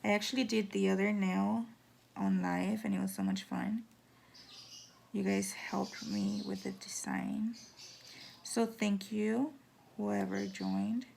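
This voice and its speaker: A woman with a hoarse, raspy voice giving a casual at-home nail how-to, chatty with lots of fillers. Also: mid-range voice, level tone, slow pace, pauses often, darkish tone, a little hiss or hum. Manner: chatty, filler-heavy, drops into whispers. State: hoarse from talking. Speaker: nail tutorial vlogger.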